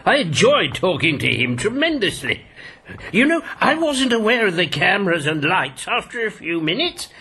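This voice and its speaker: An actor playing a roguish, haunted old gentleman in a radio drama, with a gravelly voice and genteel old-fashioned slang. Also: high-pitched, big pitch swings, moderate pace, slight background noise, full character voice. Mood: merry, excited. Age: older. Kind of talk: broadcast radio drama acting.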